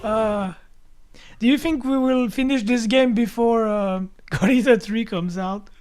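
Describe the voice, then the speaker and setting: A relaxed co-host chatting during a co-op game session. This speaker talks very slowly and mostly gives short, easygoing agreements. Faint game music plays in the background. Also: medium pitch. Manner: laid-back, short agreeing replies. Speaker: let's-play co-host. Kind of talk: casual co-op gameplay chat.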